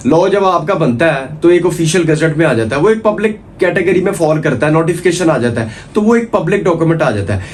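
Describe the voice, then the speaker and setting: Pakistani guy doing a law lecture, voice a bit high, drops in english terms, keeps checking you follow, bit of noise behind him. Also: animated, a little fast, hardly any pauses. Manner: repetitive step-by-step explaining with frequent check-in tags. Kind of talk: informal explanatory lecture. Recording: noticeable background noise.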